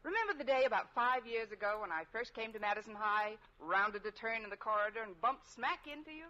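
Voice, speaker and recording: Bright, wisecracking radio schoolteacher. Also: female voice, on the high side, animated, fairly quick. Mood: coy, wry. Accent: American. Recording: dull, hissy old radio recording.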